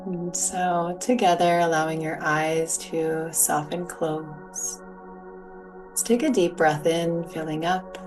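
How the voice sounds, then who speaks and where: Slow, low-voiced woman guiding meditation. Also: fairly even intonation. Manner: soothing, gentle, unhurried. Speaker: yoga nidra meditation guide. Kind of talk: guided meditation, soft spoken instruction. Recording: distant mic, music behind. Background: soft music or sound bowls.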